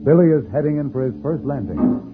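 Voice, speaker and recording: A man's voice: mid-range voice, lively intonation, fast. A man announcing and narrating a 1950s radio adventure serial in a dramatic scripted style. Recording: tinny old radio recording over loud music. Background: loud music.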